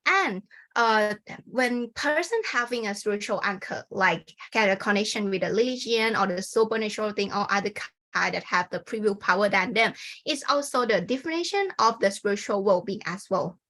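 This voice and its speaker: A young Thai woman with a Thai accent presents her research at a conference over a video call. Her voice is bright and clear, and her pitch sits in the middle range. She speaks informally, leaning on "like" and "kind of.". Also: animated, moderate pace. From Thailand. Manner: informal, many fillers like "like" and "kind of". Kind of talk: academic presentation.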